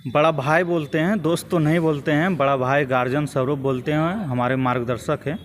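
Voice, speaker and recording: A young man with a Jharkhand Hindi accent speaks in a fairly high voice, with some background noise. He gives measured, hesitant interview answers as a grassroots political activist. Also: fairly even intonation. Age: young adult. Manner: hesitant, measured, repetitive phrasing.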